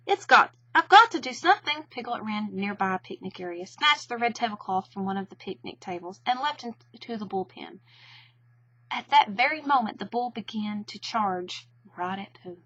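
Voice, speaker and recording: A woman's voice: mid-range voice, normal speed, fairly bright tone, dull audio. A woman narrating a children's storybook expressively.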